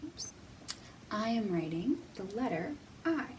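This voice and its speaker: A woman hosting a children's storytime, speaking in simple, deliberate, teacherly phrases with pauses and questions for young viewers. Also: mid-range voice, sing-song, far from the mic, heavy background noise. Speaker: children's library storytime host.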